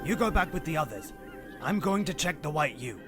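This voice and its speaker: A man's voice: a little high, sing-song, fairly quick, over loud music. A man playing a boisterous, loyal, drink-loving sea captain in an audio drama. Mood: hearty.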